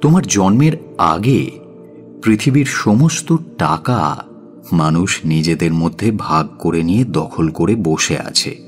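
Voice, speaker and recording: A man narrating a scripted audio story as its presenter, with a measured, theatrical delivery. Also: deep voice, lively intonation. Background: music.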